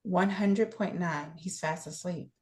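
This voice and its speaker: A woman playing a practical, good-humoured older home caregiver in a play reading, brisk, matter-of-fact and coaxing. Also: fairly low pitch, crowd noise, lightly acted. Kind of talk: table read of a play, naturalistic acting.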